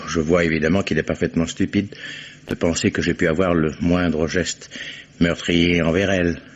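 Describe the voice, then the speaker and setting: A husky, pompous suspected lawyer. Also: male voice, low-pitched. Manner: elaborate, slightly pompous and hesitant. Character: fussy, eloquent male lawyer under suspicion. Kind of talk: 1950s radio drama acting. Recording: dull lo-fi archive radio recording.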